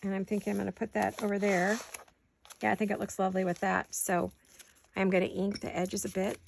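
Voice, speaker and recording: Chatty crafting woman with a gravelly voice. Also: medium pitch, level tone, brisk, slight background noise. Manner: chatty, thinking aloud to viewers. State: sore throat, sniffling. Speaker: craft vlogger. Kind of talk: casual crafting chat. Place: home craft room. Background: scissors and crafting clicks.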